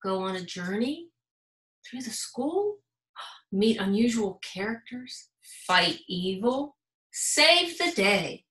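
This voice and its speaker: A woman's voice: mid-range voice, big pitch swings, takes it slowly, bright, forceful tone, exaggerated voice. A woman voicing an eager, brave young pencil schoolgirl in a storybook, musing earnestly. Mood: earnest, wondering. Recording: roomy, echoing sound.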